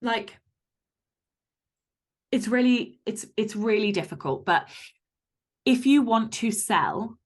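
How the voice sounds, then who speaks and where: A woman's voice: on the high side, lively intonation, slow pace, pauses often. A woman speaking as an author guest in a casual interview, candid and emphatic, with some casual swearing.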